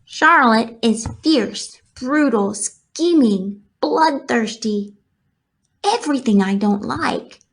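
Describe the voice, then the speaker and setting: Storybook young male pig, earnest and full of doubt, higher pitched, played by a woman, fretting aloud with stretched, emphatic words. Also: animated, breathy edge, exaggerated voice. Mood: worried, doubtful. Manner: fretful, musing aloud with drawn-out emphasis.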